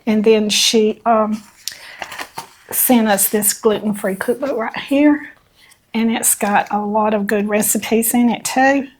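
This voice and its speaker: An older woman co-hosting a channel, her voice a little hoarse from allergies, reading viewer notes aloud in a folksy chat. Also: fairly high pitch, fairly even intonation, takes it slowly. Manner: folksy, reads notes aloud with small laughs. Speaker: channel co-host.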